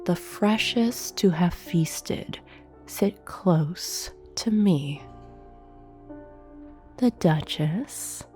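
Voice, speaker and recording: Demon duchess , voiced by a woman: haughty, sadistic banquet hostess for ASMR roleplay; dark mellow tone, very unhurried, breathy whispers, formal and teasing. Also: lowish voice, animated. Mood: sinister relish. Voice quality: breathy, often dropping into whisper. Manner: slow, formal, imperious, menacing teasing. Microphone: close binaural microphone. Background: soft piano music.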